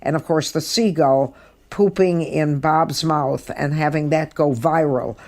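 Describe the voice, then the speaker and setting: A woman's voice: low-pitched, measured pace, a little mellow, dry recording. Woman podcast host, emphatic, dry close sound. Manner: emphatic, repeats words for stress. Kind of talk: podcast presenting and review commentary.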